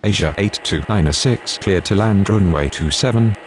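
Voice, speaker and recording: A very low male voice delivers clipped aviation radio phrases in a formal, businesslike way, as a man acting a pilot or controller in a simulator game. There is faint noise behind the voice. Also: normal speed, lightly acted. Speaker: radio voice of airline pilots and air traffic controllers.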